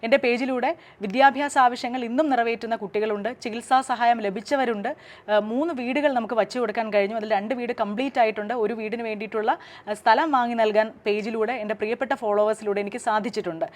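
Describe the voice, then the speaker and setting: A woman's voice: a little high, fairly even intonation, fast. A woman with a Kerala accent making a formal, articulate public statement in her own defence. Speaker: radio jockey and social media creator. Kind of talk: direct-to-camera public statement.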